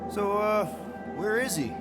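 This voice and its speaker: A man's voice: high-pitched, lively intonation, unhurried, loud music behind the voice. An actor playing a casual, wisecracking space adventurer who leads his team in a video game. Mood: wry, casual. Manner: casual, glib, hesitant asides.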